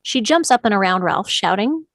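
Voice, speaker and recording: A woman narrates stage directions for a script read. Her voice sits at a medium pitch, and the delivery is that of scripted narration. The sound is very dry and close. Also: animated, normal speed, fairly bright tone, dry recording.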